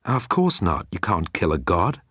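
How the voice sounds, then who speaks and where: A man's voice: low voice, huge pitch swings, unhurried, a bit bright, breathy edge, dry recording, clean studio sound, full character voice. Man playing a swaggering, vain space president. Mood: flippant. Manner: self-important, flippant. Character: vain, breezy, swaggering male alien galactic president.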